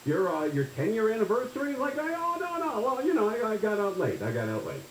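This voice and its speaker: A man's voice: sits high, huge pitch swings, fast talker, echoey room, a little hiss or hum, low-quality recording. A man in his forties hosting a casual talk radio show, rambling and folksy, often tagging his sentences with "right?". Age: 40s.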